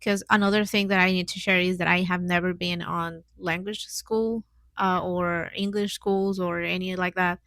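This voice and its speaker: A woman's voice: lowish voice, fairly even intonation, moderate pace, gravelly, fairly bright tone, no room sound. A woman in her mid twenties with a Mexican accent, a native Spanish speaker, hosting a casual solo podcast in hesitant, giggly learner's English. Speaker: podcast host.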